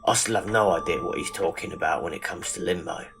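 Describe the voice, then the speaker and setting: A down-to-earth pub landlord performed by an actor talks in a fairly deep male voice. He is matey, informal and a bit of a worrier. It is audio drama acting, with music clearly underneath. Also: quick pace. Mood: uneasy. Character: friendly, plain-spoken, worried working-class pub landlord. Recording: voice over prominent background music.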